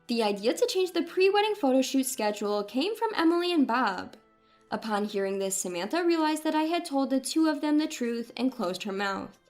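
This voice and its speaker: A woman narrates a scripted first-person story in a high voice. Her delivery is dramatic and clearly read aloud. Faint music plays behind her. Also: a little mellow.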